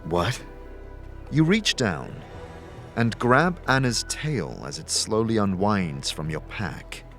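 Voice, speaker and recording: A man narrating a fantasy audio drama as game master, addressing the listener in the second person with measured, deliberate, dramatic phrasing. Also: fairly low pitch, big pitch swings, fairly bright tone. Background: music.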